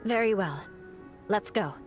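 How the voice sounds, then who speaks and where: A woman's voice: medium pitch, expressive, unhurried, over loud music, lightly acted. A woman playing a poised, haughty young female knight in a video game, formal and self-assured. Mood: composed.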